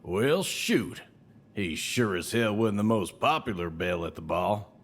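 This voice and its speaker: A man's voice: lowish voice, lively intonation, a little slow. An actor playing a brash, sly, folksy salesman with an American Southern accent and needling, colourful idioms in an audio drama. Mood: indignant, scornful. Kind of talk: scripted audio drama acting.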